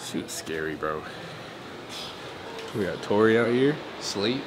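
A man's voice: on the low side, expressive, unhurried, noisy background. Playful young man vlogging on a jet ski. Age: young adult. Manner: playful, teasing, slangy. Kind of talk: casual vlog chat. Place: outdoors on a jet ski at sea.

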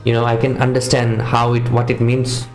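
A man's voice: mid-range voice, completely flat delivery, normal speed, echoey room. Earnest male video host, music behind. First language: not English or Hindi, another mother tongue. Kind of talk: casual chat to camera. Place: small home studio room with posters. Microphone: studio condenser microphone on boom arm.

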